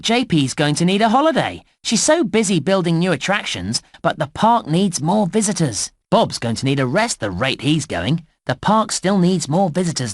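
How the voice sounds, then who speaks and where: A man's voice: a little high, no echo, clean studio sound. A man voicing an upbeat, encouraging theme park guide in a children's game, with bouncy, exclamatory scripted delivery. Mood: helpful, instructive.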